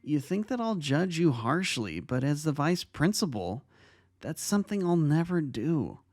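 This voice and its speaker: A man playing a kind high school vice-principal in an audio story, speaking with earnest, measured reassurance. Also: sing-song, darkish tone, no echo, clean studio sound. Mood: gentle, reassuring. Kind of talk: dramatic fan fiction audio reading.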